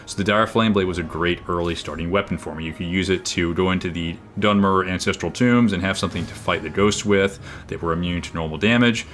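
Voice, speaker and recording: A man with a naturally booming, projecting voice, giving casual gameplay commentary with lots of fillers and restarts. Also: brisk, few pauses, fairly bright tone, slight background noise. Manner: rambling, with frequent fillers and restarts. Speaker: gaming video creator. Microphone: own microphone, level turned down.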